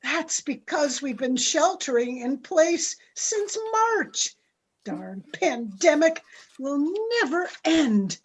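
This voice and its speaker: A woman's voice: high-pitched, expressive, slow. A woman playing a doubtful, sardonic middle-aged wife and mother in an amateur radio play, reluctant and complaining. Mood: wry reluctance.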